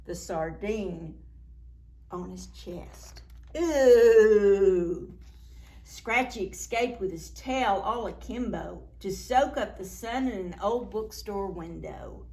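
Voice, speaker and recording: Animated woman reading aloud to preschoolers. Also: fairly high pitch. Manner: expressive, with asides and questions to young listeners. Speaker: children's librarian, story time host. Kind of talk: read-aloud picture book storytelling for preschoolers.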